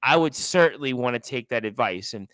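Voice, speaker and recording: A man's voice: mid-range voice, expressive, brisk, fairly bright tone, dry recording. A man from Brooklyn, hosting a wrestling talk show in a chatty, rambling way over a video call. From Brooklyn, New York. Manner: chatty, rambling, repeats himself. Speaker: radio show host. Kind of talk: casual interview chat.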